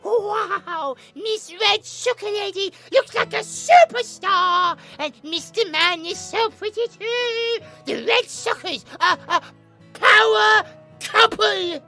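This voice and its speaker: A woman voicing a small, naive, excitable male creature in an audio drama, with a childlike, gushing, eager manner and a squeaky, creaky voice. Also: slow, faint music behind, full character voice. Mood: awestruck gushing. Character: small, excitable, naive, sweet mystic cafe helper, male.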